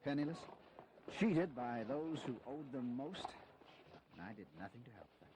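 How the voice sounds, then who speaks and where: A man's voice: mid-range voice, animated, normal speed, background noise, close to an everyday voice. An actor playing a weary, honourable general in his fifties, grave and dignified, in a historical audio drama. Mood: weary, wistful. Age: 50s.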